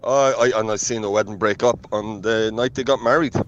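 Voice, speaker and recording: Deep-voiced Irish man on muffled voice note. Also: steady tone, fairly quick, fairly bright tone. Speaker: listener leaving a voice note. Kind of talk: casual anecdote telling. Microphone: phone voice note.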